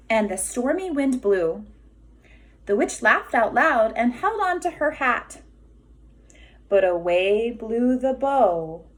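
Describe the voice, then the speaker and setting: A woman kindergarten teacher reading a children's storybook aloud expressively, deliberate and emphatic, pausing for questions. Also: on the high side.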